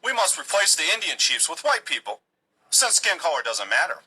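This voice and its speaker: A man's voice: fairly high pitch, very animated, brisk, sharp tone, a little hiss or hum, full character voice, low-quality recording. A man voicing a smug, preachy settler leader in a satirical cartoon, condescending and full of self-righteous pleading. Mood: self-satisfied.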